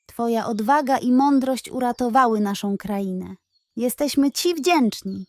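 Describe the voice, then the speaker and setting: A woman's voice: a little high, unhurried, no echo, studio quality. A woman voicing a proud warrior king in a children's storybook. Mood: grateful.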